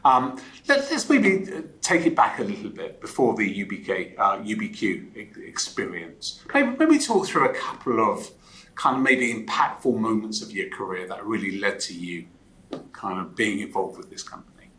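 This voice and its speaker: Bright, lively male interview host. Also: on the low side, moderate pace, poor audio. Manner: hesitant, restarts words. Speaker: podcast host. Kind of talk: interview hosting.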